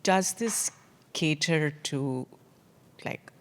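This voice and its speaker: A woman in the audience asking a question at a conference, hesitant and halting, with many fillers. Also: low voice. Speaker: audience member.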